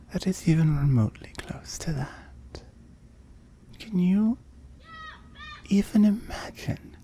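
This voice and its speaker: Woman voicing a breathy, slow-talking seductive oni demoness. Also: deep voice, warm tone, heavily acted. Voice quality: breathy, often whispering. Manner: slow, teasing, commanding. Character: seductive, possessive immortal female oni demon. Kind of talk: ASMR roleplay.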